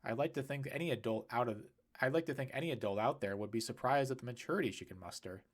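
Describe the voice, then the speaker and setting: An easygoing, reasonable man, voiced by a male narrator in a storybook reading. He speaks plainly and conversationally, in a medium-pitched voice. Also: fast talker, close to an everyday voice.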